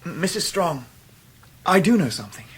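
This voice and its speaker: An earnest young Victorian gentleman in a period radio drama, loyal and grave. His voice is fairly high for a man, with a husky edge. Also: very animated, normal speed, heavily acted.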